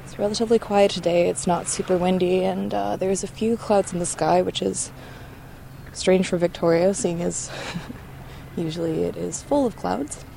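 A woman's voice: fairly low pitch, steady tone, normal speed, creaky, dark tone, a little hiss or hum. A young woman making an audio documentary, politely stopping people on an outdoor beach with short friendly questions. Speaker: interviewer, documentary maker. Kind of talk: vox pop interviewing.

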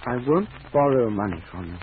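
A man's voice: mid-range voice, big pitch swings, fairly quick, lightly acted. A man playing a shy, stammering suitor on old radio. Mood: earnest, shy. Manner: stammering, hesitant. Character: meek, bashful, proud adult man. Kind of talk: 1940s radio acting. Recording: tinny hissy old radio recording.

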